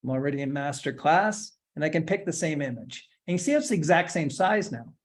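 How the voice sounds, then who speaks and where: Male instructor in his forties, casual tutorial delivery, step-by-step with light humor, slightly high voice. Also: sing-song, fairly quick. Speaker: instructor giving a software demo. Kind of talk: screen-share tutorial, casual explanation.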